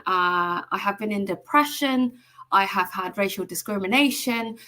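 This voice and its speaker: Female guest from Hong Kong, bright mid-pitch voice, friendly, lively, on a video call. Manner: friendly, lively, laughing easily. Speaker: guest, life coach. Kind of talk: casual interview.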